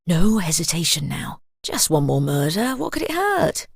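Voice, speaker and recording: A woman's voice: on the low side, big pitch swings, normal speed, vocal fry, slightly rough, no echo, clean studio sound, heavily acted. A woman voicing a sly, wicked temptress in a video game, coaxing and teasing with sinister glee. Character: sly, wicked temptress gleefully egging killers on. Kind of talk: scripted game voice lines.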